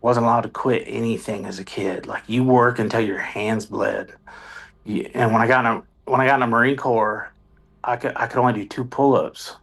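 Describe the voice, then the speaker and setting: A man's voice: medium pitch, fairly even intonation, moderate pace, vocal fry, a bit bright. Guy telling war-vet and music stories, rambly and self-deprecating, just talking plain. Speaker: guest, Marine Corps veteran and songwriter. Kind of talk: casual interview storytelling.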